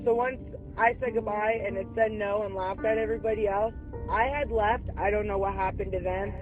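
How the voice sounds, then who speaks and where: A woman being interviewed, recounting a disturbing past experience in a measured, uneasy way. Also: medium pitch, fairly even intonation. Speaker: homeowner, interviewee. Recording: phone-like thin sound, faint music.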